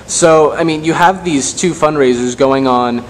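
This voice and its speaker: A man interviewing in an unscripted way, phrasing his questions in a halting, wordy, roundabout manner. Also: fairly high pitch. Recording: reverberant hall, faint noise.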